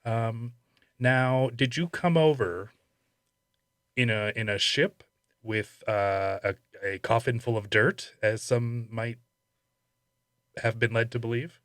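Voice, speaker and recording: A man's voice: medium pitch, takes it slowly, pauses often, fairly bright tone, dry recording. Dry-sounding male podcast host interviewing. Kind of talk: casual comic interview.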